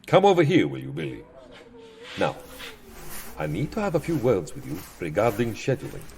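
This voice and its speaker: A man's voice: on the low side, huge pitch swings, moderate pace, a little mellow. A man playing a centuries-old vampire count in a comedic audio drama, fussy, sardonic and theatrically wordy. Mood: fussy, irritable. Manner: theatrical, wry, fussily verbose.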